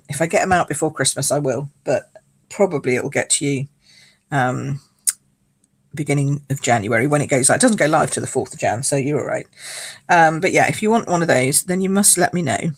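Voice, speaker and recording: A British woman with a low, husky voice and a croaky edge, hosting a casual live card-making class. She rambles warmly between steps, greets viewers by name and laughs easily. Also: normal speed. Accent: British English. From United Kingdom. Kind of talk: casual live craft demo, chatting with viewers. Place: home craft space.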